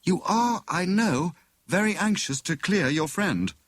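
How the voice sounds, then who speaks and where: A man voicing a clever, excitable married woman who plays amateur detective, with a brisk, knowing manner. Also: high voice, no room sound, clean studio sound, pitched above the performer's own voice. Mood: poised, leading.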